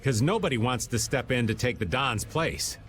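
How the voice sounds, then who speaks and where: An actor playing a laid-back, folksy, teasing middle-aged rogue in a video game. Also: male voice, mid-range voice, animated, moderate pace, faint music behind, natural voice. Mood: casual, frank.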